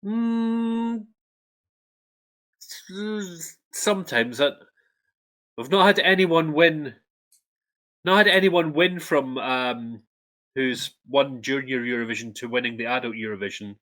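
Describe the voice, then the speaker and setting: Young Scottish male co-host, bright tone, loose and hesitant, lots of fillers. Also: on the high side, takes it slowly, long pauses. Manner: hesitant, frequent ums. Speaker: co-host, Eurovision fact presenter. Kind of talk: casual chat.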